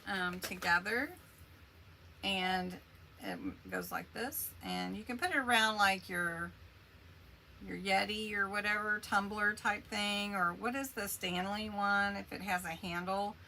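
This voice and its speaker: Chatty woman doing a craft livestream, slow and rambly, bit of vocal fry, noisy background hum. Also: mid-range voice, pushed and bright. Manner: chatty, rambling, answers viewer comments. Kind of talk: casual craft demo livestream.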